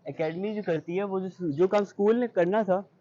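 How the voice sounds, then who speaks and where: Young man, Pakistani accent, slightly high pitch, explanatory interview answers, English mixed in, dull lo-fi recording. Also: moderate pace, warm tone. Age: young adult. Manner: explanatory, advice-giving. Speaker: interview guest, university student.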